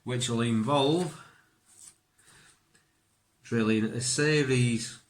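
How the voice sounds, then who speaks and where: A man's voice: medium pitch, very slow. A man who is a native English speaker, giving a casual hands-on bushcraft tutorial and thinking aloud informally. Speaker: bushcraft instructor.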